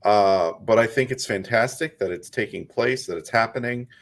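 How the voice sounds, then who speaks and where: A man's voice: lowish voice, moderate pace. A man hosting a casual interview, speaking in an easygoing way with many fillers. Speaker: podcast host.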